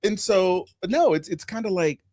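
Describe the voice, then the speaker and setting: Host, man; casual and emphatic, lively pitch, dry room. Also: moderate pace, dry recording. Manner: animated storytelling, emphatic asides. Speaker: podcast host. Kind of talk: casual live chat.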